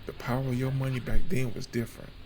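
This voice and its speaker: A man giving casual, off-the-cuff commentary with a gravelly, creaky voice. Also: medium pitch, level tone, quick pace. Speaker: video presenter commenting on archive footage. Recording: clear background noise.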